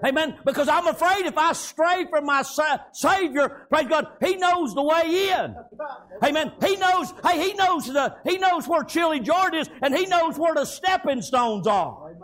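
A man's voice: very high-pitched, lively intonation, normal speed, fairly bright tone. A man preaching a fervent revivalist sermon, impassioned and exhorting, punctuating phrases with amen and praise God.

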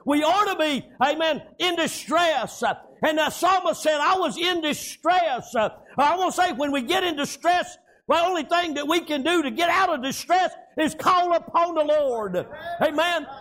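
A man's voice: extremely high pitch, moderate pace, fairly bright tone. A man preaching a fervent revivalist sermon, impassioned and exhorting, punctuating phrases with amen and praise God.

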